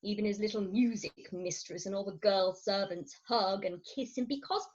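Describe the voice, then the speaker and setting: A woman's voice: mid-range voice, normal speed, a little mellow, muffled recording, lightly acted. A woman playing a fretful, theatrical middle-aged Victorian wife in a stage farce, confiding her woes emphatically over a video call. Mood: woeful. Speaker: actor in an amateur staged reading.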